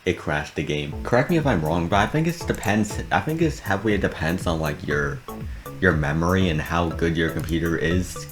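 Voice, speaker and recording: Low-voiced man doing casual gaming commentary. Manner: amused, reactive, talking through the task as it happens. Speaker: video creator narrating a game modding experiment. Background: background music.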